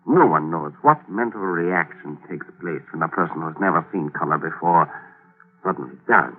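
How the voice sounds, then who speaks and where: An actor playing a grave, obsessed scientist in a 1940s radio drama, speaking in deliberate, portentous phrases. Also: male voice, sits low, lively intonation, phone-quality sound. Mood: ominous. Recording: phone-thin 1940s radio broadcast.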